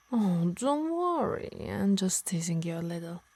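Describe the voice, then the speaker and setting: A woman plays a yandere harpy, doting on her beloved while quietly controlling her. The voice is breathy and mellow with a creaky edge, often dropping into whispers. It is intimate ASMR roleplay, teasing and menacing by turns. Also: mid-range voice, no echo, exaggerated voice. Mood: teasing, hurt. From Italy. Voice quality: breathy, soft. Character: possessive yandere female harpy, doting yet menacing lover. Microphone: close, dry microphone.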